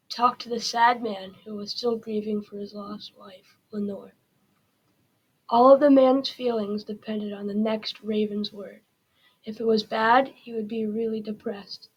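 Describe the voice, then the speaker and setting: A woman's voice: fairly high pitch, breathy edge. A woman reading scripted story narration aloud on a lo-fi recording. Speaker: student reader. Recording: dull lo-fi sound.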